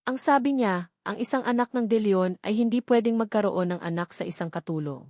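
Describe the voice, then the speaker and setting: A woman's voice: medium pitch, a little fast, a little mellow, no room sound, studio quality, natural voice. A Filipino woman voicing a deferential, anxious household maid in a story, respectful and confiding.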